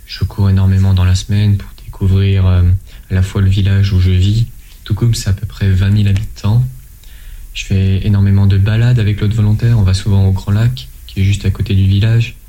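Young man with a dark voice. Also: low-pitched, little pitch movement, slight background noise. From France. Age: 20s. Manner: measured, with frequent hesitations and restarts. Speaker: interview guest, volunteer. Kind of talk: answering interview questions.

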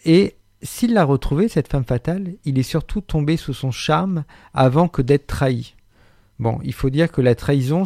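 A man delivers a radio book column in a wry, articulate way, pausing now and then on hesitations. His voice sits a little high. The studio sound is close and dry. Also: darkish tone, no room sound. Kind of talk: radio literary chronicle, partly read.

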